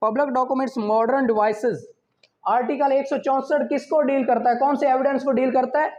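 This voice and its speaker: High-pitched man teaching law slowly. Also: a little mellow. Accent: Pakistani. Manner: reads English questions slowly, explains in Urdu. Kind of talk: exam prep lecture, reading questions aloud then explaining. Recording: slightly dull lo-fi audio.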